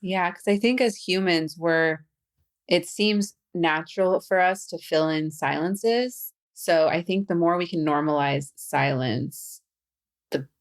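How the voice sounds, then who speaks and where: A young adult woman who stutters, speaking as a podcast guest in a relaxed conversational way, with halting phrasing and restarts. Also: on the low side. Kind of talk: conversational interview.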